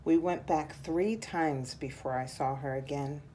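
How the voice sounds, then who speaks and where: Low, slow-paced woman reading a storybook. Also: dark tone, slight background noise. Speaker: picture book reader. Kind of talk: read-aloud storytelling for children.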